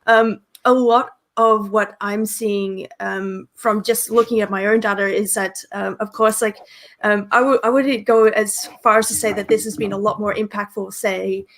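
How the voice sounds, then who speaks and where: A woman's voice: mid-range voice, fairly even intonation, moderate pace, a bit bright. A young woman co-hosting a livestreamed meetup over a video call, chatty with many ums and likes. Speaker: meetup co-host.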